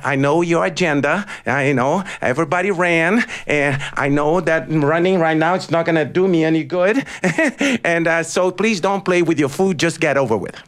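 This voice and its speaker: A man's voice: fairly high pitch, fairly bright tone, lightly acted. A man voicing a timid young bird that believes it is a chicken, pleading and crying out in panic.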